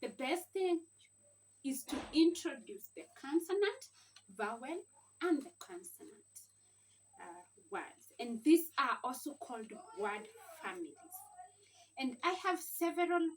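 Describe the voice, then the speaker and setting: Woman, teacher, high-pitched, slow measured pace, informal instructional style, deliberate enunciation, slightly distant microphone. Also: a little hiss or hum. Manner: deliberate, sounds out letters and words, asks rhetorical questions. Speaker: teacher giving a home reading lesson. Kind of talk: informal instructional explanation to parents.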